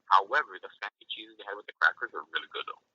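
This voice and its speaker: Low husky guy in his 20s, super forceful, keeps saying like, dull muffled recording. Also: racing. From northern Spain. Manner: excitable, repetitive, heavy use of "like". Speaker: podcast guest. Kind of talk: casual chat.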